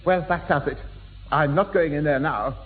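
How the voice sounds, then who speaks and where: A man's voice: fairly high pitch, moderate pace, close to an everyday voice. A man playing a nervous, gloomy young pal in a 1960s radio sitcom. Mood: worried. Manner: worried, animated. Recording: tinny, reverberant old radio broadcast.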